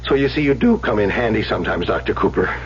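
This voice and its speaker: A man's voice: lowish voice, animated, quick pace. An actor playing a troubled, sardonic man near sixty, a prickly therapy patient in an old-time radio drama. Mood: wry, confessing. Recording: muffled, hissy old radio broadcast recording.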